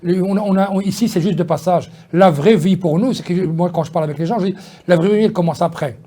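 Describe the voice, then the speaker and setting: Fast-talking man in his fifties. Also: high voice, warm tone, husky. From Belgium. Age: 50s. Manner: rambling, many fillers and restarts. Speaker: politician guest. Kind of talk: casual interview talk.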